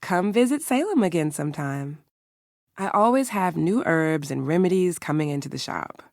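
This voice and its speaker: A woman playing a hospitable herb shop keeper in a video game, measured and agreeable. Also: low voice, lively intonation, no echo, studio quality. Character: hospitable female herb and remedy shopkeeper.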